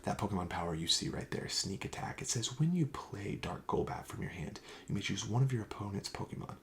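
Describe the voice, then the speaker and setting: A man speaking softly in an ASMR shop-clerk roleplay, often dropping to a breathy whisper, with a gentle, explanatory sales manner. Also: on the low side, lively intonation, fairly quick, slight background noise. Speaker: host playing a card shop clerk in a roleplay. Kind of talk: soft-spoken ASMR roleplay.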